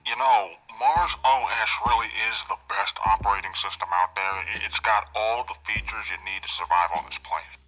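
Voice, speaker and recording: Man acting an argumentative guard, very sharp voice. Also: fairly low pitch, steady tone, a little fast, few pauses, faint music behind. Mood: scornful. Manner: argumentative, scornful. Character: edgy, argumentative male facility guard. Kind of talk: audio drama acting. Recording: dull lo-fi radio-like sound.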